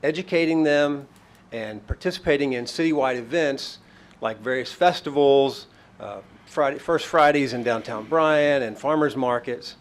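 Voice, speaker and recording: A man reading a measured, appreciative statement at a podium microphone in a county courtroom. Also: mid-range voice. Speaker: public commenter. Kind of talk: prepared public statement.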